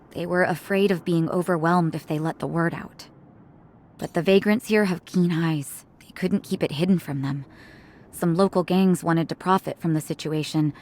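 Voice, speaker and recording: A woman voice acting a composed, kind doctor in a video game, speaking in a calm, measured, polite way. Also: on the low side, a little hiss or hum, lightly acted. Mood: measured, explaining.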